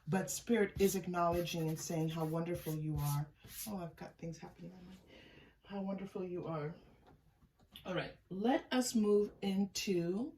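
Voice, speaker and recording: A woman giving a pick-a-card tarot reading in a soft, unhurried, musing way, often trailing off and restarting, and thanking unseen guides. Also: lowish voice, distant mic, slight background noise, rough recording. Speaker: tarot reader.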